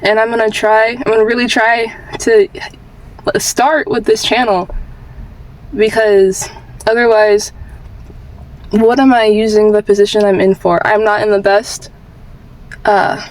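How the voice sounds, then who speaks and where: A woman's voice: mid-range voice, flat delivery, measured pace, pauses often, poor audio. A twenty-year-old woman vlogging with candid personal advice in an informal, rambling, earnest way, repeating phrases for emphasis.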